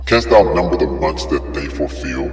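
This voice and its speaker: Very deep, reverberant man reading scripture dramatically. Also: expressive, normal speed. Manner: solemn, theatrical, rhetorical questioning. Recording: echoey long reverb over music. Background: music.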